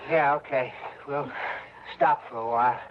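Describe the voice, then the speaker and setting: A man's voice: mid-range voice, fairly even intonation, unhurried, natural voice. An actor playing a protective man leading lost companions, firm and reassuring, in an old time radio drama. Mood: weary, irritable. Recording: muffled old radio broadcast, heavy noise.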